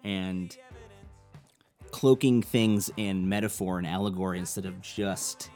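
A man's voice: sits low, a little slow, mellow, soft background music. A man hosting a podcast interview, talking loosely and thoughtfully with lots of "you know"s. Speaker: podcast host. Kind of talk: casual conversational interview.

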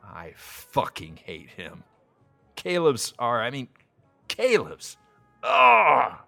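An actor playing an anxious, self-deprecating single man in naturalistic audio drama, wry and halting. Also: mid-range voice, huge pitch swings, vocal fry, pushed and bright, faint music behind, heavily acted. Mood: exasperated, jealous.

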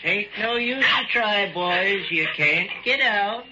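Sly grinning old backwoods man, slow mocking drawl, lo-fi vintage radio. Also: high-pitched, lively intonation, heavy background noise. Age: older. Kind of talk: 1940s radio acting. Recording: dull lo-fi old radio recording.

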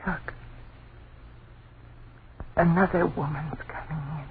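An actress playing a terrified, protective mother, halting and breathless in a 1930s radio horror drama. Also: low voice, fairly even intonation. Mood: appalled. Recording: noisy, tinny 1930s radio recording.

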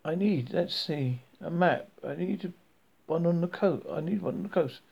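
A man voicing a polite, measured middle-aged woman shopping. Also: on the high side, vocal fry, a little mellow, husky, lo-fi sound, voice pushed up.

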